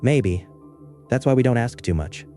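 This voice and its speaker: A man narrating a scripted first-person horror story in a measured, understated way. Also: medium pitch, lively intonation, mellow, soft background music.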